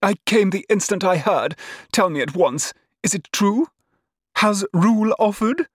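A man's voice: medium pitch, lively intonation, moderate pace, husky, dry recording, clean studio sound, pitched above the performer's own voice. A man voicing a gushing, worldly middle-aged society lady in a period novel, with breathless, emphatic exclamations. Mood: eager. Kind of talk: dramatised period novel narration.

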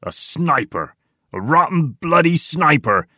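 Man putting on a rough, grumbling army private. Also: on the low side, very animated, measured pace, dry recording, studio quality. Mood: alarmed. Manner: coarse, aggrieved, loud. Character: rough, grumbling, bloodthirsty male soldier.

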